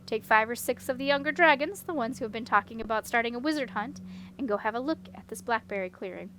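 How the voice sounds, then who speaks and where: A woman voicing a composed, commanding female dragon monarch with a mild, measured, dryly amused manner. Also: a little high, bright, forceful tone. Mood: dryly regal.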